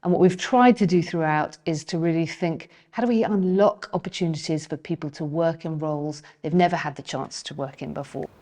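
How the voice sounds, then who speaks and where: A woman's voice: sits low, fairly quick, few pauses, warm tone. A woman talking in a documentary interview about work she helps lead. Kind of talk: interview talk for a making-of documentary.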